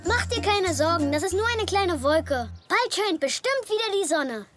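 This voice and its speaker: A performer voicing an earnest, caring little zebra boy in a German-dubbed children's cartoon, speaking in an expressive, childlike way. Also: extremely high pitch, normal speed. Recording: loud music behind.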